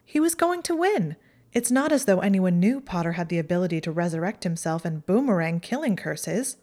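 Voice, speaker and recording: A woman voicing a haughty, sharp-tongued teenage witch girl in a storybook reading, with a mocking, high-reaching delivery. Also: animated, a little fast. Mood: shaky. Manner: mocking, acidic, voice rises high.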